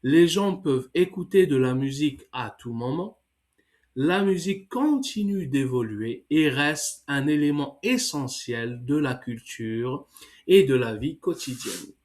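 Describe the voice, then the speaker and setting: A man with a cold, slowly reading a text for learners and then explaining exercises in English with many hesitations. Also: medium pitch, expressive, a little mellow. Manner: slow, careful reading with hesitant fillers. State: has a cold. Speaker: French teacher.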